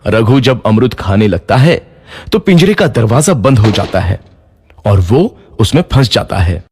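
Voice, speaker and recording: A man's voice: fairly low pitch, very animated, measured pace, a little mellow, slight background noise. A man narrating a scripted moral story for children.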